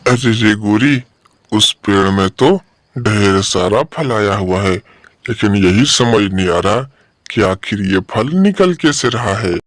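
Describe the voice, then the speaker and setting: Man voicing a puzzled, dim-witted cartoon crow, low-pitched. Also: expressive, slow pace, dry recording, studio quality. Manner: repetitive, pestering questions. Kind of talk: Hindi animated bird story dialogue.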